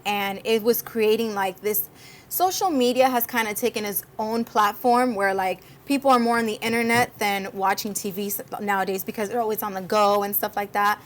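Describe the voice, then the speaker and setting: A woman's voice: a little high, moderate pace. A young woman from Cuba, a guest co-host, chatting casually and animatedly, often laughing. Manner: animated, laughs often, acts out voices in stories. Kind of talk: casual interview chat.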